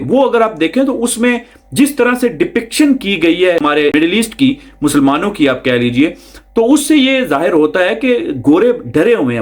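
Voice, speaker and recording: A man hosting a news explainer vlog, talking conversationally to the listener and repeating phrases for emphasis. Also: high voice. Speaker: video host.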